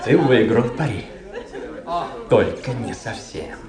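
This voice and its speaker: An actor playing a vain, lovesick young officer in a Russian radio play, with a theatrical, high-flown manner. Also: male voice, lively intonation, slow, over a noisy crowd. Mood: resentful. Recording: roomy echo, faint music behind.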